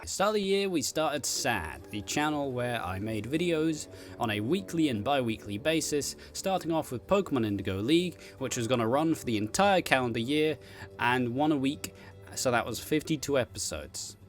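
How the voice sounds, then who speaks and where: Man, mid-pitched voice, casual rambling streamer chat, giggly, self-deprecating, sweary, music behind. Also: expressive, normal speed. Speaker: host, streamer and content creator. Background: background music.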